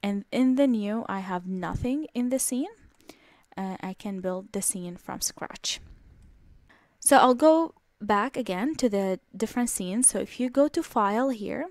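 A woman giving a casual screen-recorded software walkthrough, explaining step by step with many ums and restarts. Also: medium pitch, lively intonation, unhurried, dry recording. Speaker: software tutorial presenter.

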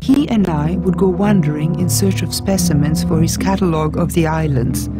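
Earnest young island woman voiced by a woman, music behind. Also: on the low side. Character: earnest 28-year-old island woman writing an intimate letter. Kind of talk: audiobook letter narration.

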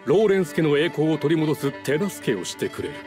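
A haughty nobleman of a fallen clan, pitched a little high for a man. He speaks with pompous, formal condescension, like a schemer in a Japanese game. Music plays clearly behind him. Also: sing-song, brisk, darkish tone. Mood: smug, assured.

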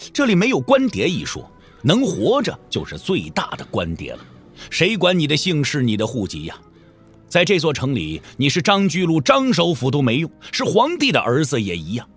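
A male storyteller narrates a martial-arts tale with dramatic, widely varied intonation. His voice is husky and rough-edged, with soft music playing behind it. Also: a little high.

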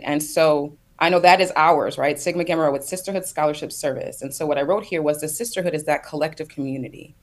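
Low-voiced woman on a video call. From United States. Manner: thoughtful, reflective, speaks in loose building phrases. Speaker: panelist. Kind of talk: panel discussion.

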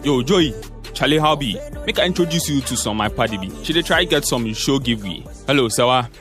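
A young Ghanaian music promoter played by a male actor banters on a phone call in an audio drama. He is animated and slangy, mixing in Pidgin with a teasing, wary edge, over light music. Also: mid-range voice, brisk, lightly acted. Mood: breezy, bantering. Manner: slangy Pidgin-mixed speech.

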